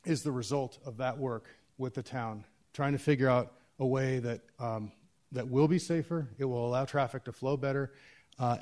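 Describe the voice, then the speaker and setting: Guy presenting at a public meeting, mid-pitched, lots of ums and uhs as he explains. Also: a little mellow. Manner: explanatory, halting with fillers. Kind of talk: answering questions at a public meeting.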